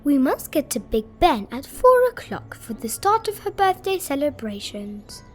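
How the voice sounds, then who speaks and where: A woman's voice: high-pitched, very animated, normal speed, soft background music. A woman voicing an important, commanding male railway controller who makes formal announcements in a children's storybook. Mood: proud, announcing.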